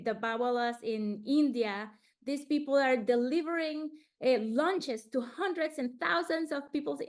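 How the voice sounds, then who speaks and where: A woman from Colombia, presenting slides in a webinar in an earnest way, often restarting words over a video call. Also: high-pitched.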